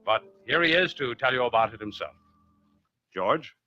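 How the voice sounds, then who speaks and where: A man's voice: mid-range voice, lively intonation, normal speed, bright, forceful tone. A man playing a genial adventurer who hosts and narrates suspense tales on old-time radio. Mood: genial, intriguing. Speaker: host and narrator. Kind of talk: 1940s radio drama narration. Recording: dull old radio recording with music.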